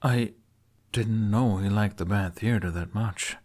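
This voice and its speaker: A man's voice: on the low side, a little slow, a little mellow, natural voice. A man voicing a guarded young man who hides his worries behind half-hearted jokes in an audio story. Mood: hesitant, deflecting. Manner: flippant, half-hearted joking.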